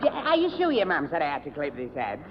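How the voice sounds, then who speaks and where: A man's voice: on the high side, huge pitch swings, quick pace, a little hiss or hum. A dim, put-upon young northern English man, flustered and stammering, repeating himself as he muddles along. He sounds like old radio sitcom, muffled and in a reverberant hall. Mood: grumbling, muddled. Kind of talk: 1970 radio sitcom acting.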